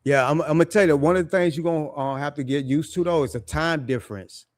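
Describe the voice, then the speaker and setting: Raspy middle-aged man, casual. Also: quick pace, dry recording, studio quality. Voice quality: deep raspy voice. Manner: laid-back, repeats phrases, slangy. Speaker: guest living abroad. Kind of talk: casual chat.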